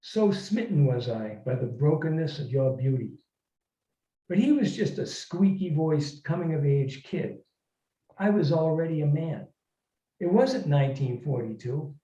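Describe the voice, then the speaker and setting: A man speaking as an author at a literary reading, in a reflective, halting manner. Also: fairly high pitch, lively intonation, warm tone. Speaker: author reading and discussing own work. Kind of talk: informal literary talk and story reading to an audience. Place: echoey room, recorded from a distance. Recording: muffled, echoey room, distant microphone.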